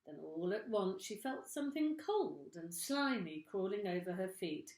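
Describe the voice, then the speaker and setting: Woman teacher, storybook narrator, slow and lively, echoey room. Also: medium pitch. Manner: slow, expressive reading. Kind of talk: storybook reading for children.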